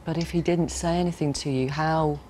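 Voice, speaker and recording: A man's voice: fairly high pitch, steady tone, a little fast, darkish tone. Male interviewer over background noise. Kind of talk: measured, sympathetic news interview.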